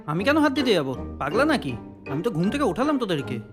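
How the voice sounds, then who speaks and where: A man's voice: high-pitched, sing-song, fast talker, over music. A man playing a jumpy, argumentative young friend in a comedy audio drama, with an urgent, protesting manner. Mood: indignant.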